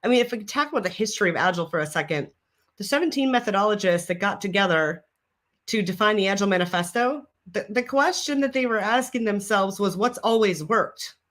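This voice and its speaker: A woman's voice: mid-range voice, expressive, a bit bright. A middle-aged woman with a Minnesotan accent, a guest expert explaining confidently on a video call. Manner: confident, explanatory, quick laughs. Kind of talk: casual video call chat. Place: office room, indoors. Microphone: video call webcam.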